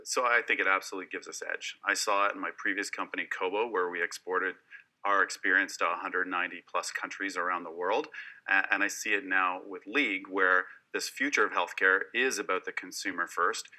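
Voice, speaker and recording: A man, a company founder, explaining his business on a panel in a polished, pitch-like way. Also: fairly even intonation, brisk, pushed and bright. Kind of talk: panel discussion.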